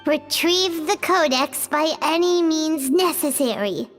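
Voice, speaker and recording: A woman voicing a tiny, excitable childlike female fairy companion in a video game, chatty, exclamatory and easily baffled. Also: slow, faint music behind. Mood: puzzled. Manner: exclamatory, squeaky cartoon delivery, refers to self in third person.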